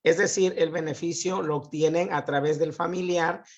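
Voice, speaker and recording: A man's voice: on the high side, steady tone, moderate pace, creaky, dull audio. A man, a guest expert on immigration, methodically explaining legal questions on a live show.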